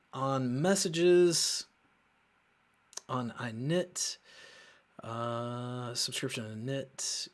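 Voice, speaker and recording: A man hosting a casual coding walkthrough, thinking aloud in a halting way as he works and often muttering to himself. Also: medium pitch. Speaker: host of a coding show.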